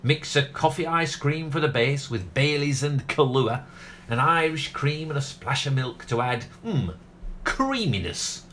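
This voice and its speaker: A man's voice: mid-range voice, expressive, a little slow, pushed and bright, slight background noise. A man playing a proud, rambling middle-aged ice cream parlour owner with a South Yorkshire accent in a comedy radio play. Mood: eager, boastful. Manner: garrulous, rambling, cheerfully talks over others. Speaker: actor.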